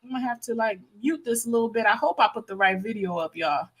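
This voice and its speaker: An American woman with a high-pitched voice speaks as a livestream host. Her commentary is casual and impassioned. She is emphatic, often repeating phrases to stress a point. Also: expressive, fast, loud music behind the voice.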